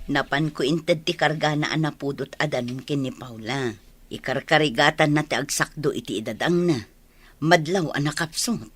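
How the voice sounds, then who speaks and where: Kind, concerned village wife. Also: female voice, sits low, normal speed, breathy edge. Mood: sympathetic. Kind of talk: Filipino radio drama acting.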